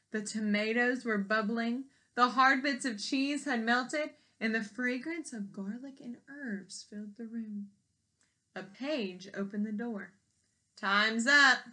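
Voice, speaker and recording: A woman reading a children's story aloud expressively, pausing in a teacherly way to ask young listeners simple questions. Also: medium pitch, fairly bright tone. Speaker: storybook read-aloud reader.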